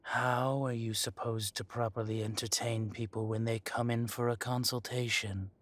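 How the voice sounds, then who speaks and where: A man playing an arrogant dragon mafia boss in an audio roleplay, speaking deliberately with mock politeness and quiet threat. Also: mid-range voice, level tone, close to an everyday voice. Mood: smug, casual. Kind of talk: scripted roleplay audio drama monologue.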